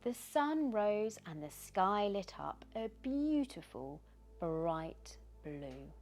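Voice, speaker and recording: A British woman reading a children's bedtime story aloud in an expressive, dramatic way, often dropping into hushed tones. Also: medium pitch, takes it slowly. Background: background music.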